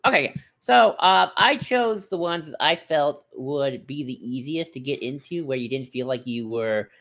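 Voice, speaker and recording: A man's voice: on the high side, animated, moderate pace, fairly bright tone. A man co-hosting a podcast in casual chat, informal and stop-start, often hedging and slipping into asides. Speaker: podcast co-host. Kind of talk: casual chat, discussing book picks.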